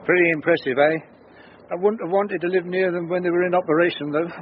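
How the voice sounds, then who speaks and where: A man's voice: high-pitched, fairly even intonation, quick pace, lightly acted. An actor playing an older British father in a radio drama, chatty and dryly humorous. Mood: wry. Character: wry, lonely older father, fond of daughter. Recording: dull radio sound, faint noise.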